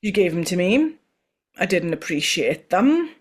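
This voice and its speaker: A woman's voice: lowish voice, big pitch swings, measured pace, husky. A Welsh woman co-hosting a casual podcast chat, who talks freely and often trails off mid-sentence. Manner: chatty, trails off and restarts. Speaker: podcast co-host.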